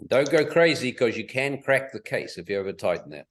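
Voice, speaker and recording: A man's voice: mid-range voice, lively intonation, brisk. A man giving casual technical advice on a group call in a matter-of-fact, instructive way. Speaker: technical adviser.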